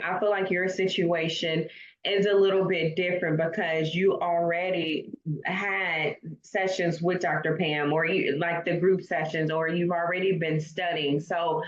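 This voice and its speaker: A woman coach hosting an interview, talking in an encouraging, affirming way. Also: fairly low pitch. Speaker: coach and host. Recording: echoey, dull lo-fi call audio.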